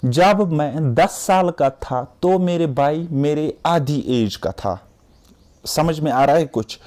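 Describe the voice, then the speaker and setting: A man's voice: a little high, dark tone. Patient Kashmiri man teaching math. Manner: patient, repetitive explaining, often checking understanding. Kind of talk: exam-prep math lesson.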